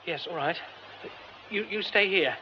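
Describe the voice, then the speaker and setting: A man's voice: high-pitched, measured pace. A male actor playing an anxious, well-spoken, polite theatre man in a radio drama, urgent and agitated. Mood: tense, shaken. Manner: urgent, agitated, hesitant when shocked. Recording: dull radio recording with clear noise.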